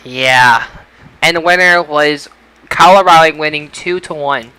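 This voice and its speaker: A man's voice: a little high, unhurried, bright, forceful tone, clean tone, no room sound, low-quality recording. A man hosting a casual sports results discussion on a podcast. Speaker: podcast host.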